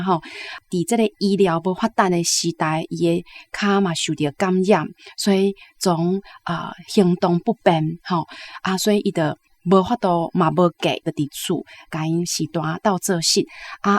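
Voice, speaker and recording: A woman's voice: on the low side, darkish tone. A woman with a Taiwanese accent giving conversational literary commentary, explaining with frequent little confirming interjections.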